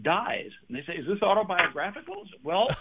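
A man's voice: high-pitched, huge pitch swings, brisk, noisy background. A singer-songwriter from Texas in his sixties, chatting as a radio interview guest, laughing often and repeating words as he starts his thoughts. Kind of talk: radio interview, casual storytelling. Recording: phone line, tinny.

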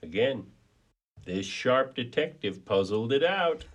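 A man playing a folksy, rueful pet detective in a comedy whodunit radio play, with halting emphasis. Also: medium pitch, lively intonation.

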